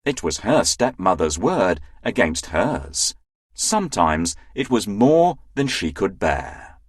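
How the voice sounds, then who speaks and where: A man's voice: sits low, big pitch swings, slow, slightly rough, dry recording, clean studio sound. A man narrating a children's fairy tale as a scripted storyteller.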